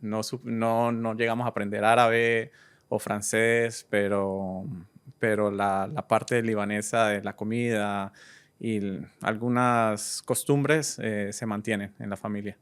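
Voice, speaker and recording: Smooth-voiced Colombian man, easygoing. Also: fairly low pitch, fairly even intonation. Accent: Colombian, from Cúcuta. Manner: easygoing, hesitant, many "eh" pauses. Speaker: guest DJ and entrepreneur. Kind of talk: relaxed interview answers.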